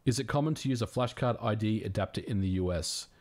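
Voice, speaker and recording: A fairly low-voiced Australian man in his thirties chats easily with his viewers on a livestream. His talk is friendly and unhurried, with plenty of "um"s and restarts as he answers questions. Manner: relaxed, friendly, frequent fillers and restarts. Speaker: livestream host. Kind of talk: casual livestream chat reading viewer questions.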